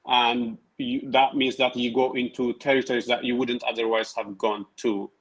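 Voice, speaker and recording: A man in his thirties who grew up in Tigray and speaks Tigrinya as his first language. He talks as an interview guest in a measured, hesitant way, with many fillers and restarts. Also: medium pitch, level tone, a bit bright, low-quality recording. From Tigray, Ethiopia. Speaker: guest, writer and PhD researcher. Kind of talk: casual interview conversation.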